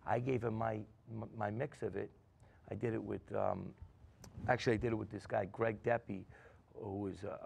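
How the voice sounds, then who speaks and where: Gravelly, low-voiced New guy in his middle years, a chatty guest telling road stories. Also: a little mellow. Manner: rambling storyteller, many restarts and fillers. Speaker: guest musician. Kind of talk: casual chat.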